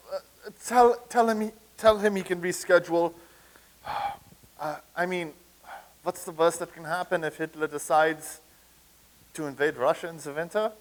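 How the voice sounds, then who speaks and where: A man's voice: a little high, lightly acted, low-quality recording. A man reading the part of a weary, fatalistic man adrift in time, with a hesitant, stammering delivery. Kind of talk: staged reading.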